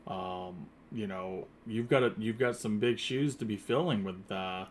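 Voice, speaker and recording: A man's voice: lowish voice, measured pace, slight background noise. A man hosting a podcast interview, talking casually and rambling with many fillers and restarts. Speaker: podcast host. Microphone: earpiece headset.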